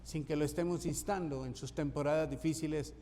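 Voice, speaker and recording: A man's voice: a little high, fairly even intonation, brisk, warm tone, in a large hall, a little hiss or hum. A man from Colombia, preaching a sermon as pastor, emphatic and repeating key phrases for rhythm. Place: church sanctuary.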